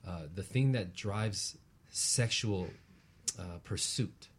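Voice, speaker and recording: A man with a fairly deep, husky voice talks as a guest teacher. He explains spiritual ideas earnestly and conversationally, often ending a thought with a quick tag question to check the listener follows. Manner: earnest, explanatory, often tags phrases with "right?". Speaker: guest, relationship practitioner and teacher.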